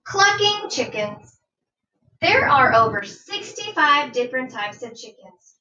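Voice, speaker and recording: Female primary teacher for children's read-aloud: bright, unhurried, instructive delivery, slightly distant from the mic. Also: high-pitched, very animated. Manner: deliberate, instructive, asks listeners questions. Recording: dull, roomy sound, distant from mic.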